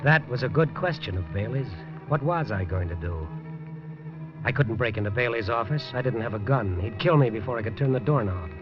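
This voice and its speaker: A man's voice: medium pitch, animated, a little fast, lightly acted. An actor narrating as a wisecracking male newspaper reporter in a 1950s radio drama, dry and hardboiled. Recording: dull lo-fi 1950s radio broadcast with music.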